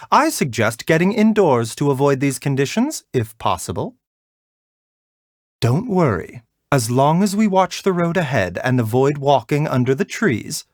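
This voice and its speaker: An actor playing a gentle, composed herbal doctor in a video game, polite and measured in manner. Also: male voice, fairly high pitch, dry recording, studio quality. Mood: reassuring. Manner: soft-spoken, polite, measured, bedside courtesy. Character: gentle, courteous, composed male herbal doctor, frail yet unhurried. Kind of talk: video game character voice lines.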